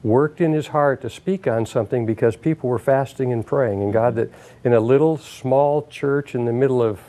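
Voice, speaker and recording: Older male pastor host, mellow voice, earnest, lapel mic. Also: mid-range voice, normal speed. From Washington state, USA. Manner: earnest, reflective storytelling. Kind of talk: interview. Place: studio set, indoors.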